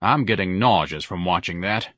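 A man's voice: sits low, normal speed, fairly bright tone, dry recording. A man voicing an exasperated middle-aged husband in an audiobook, with a dry, grumbling manner. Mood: annoyed.

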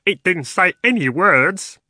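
A man voicing an excitable, insistent chattering jackdaw in a storybook. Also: sits high, measured pace, no room sound, studio quality, voice pushed up, exaggerated voice. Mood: incredulous.

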